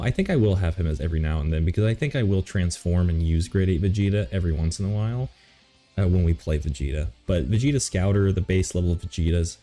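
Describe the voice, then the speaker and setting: A man's voice: low voice, mellow. A man hosting a gaming video, chatting casually and rambling with many ums and self-corrections while coming down with a cold. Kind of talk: casual commentary ranking game characters.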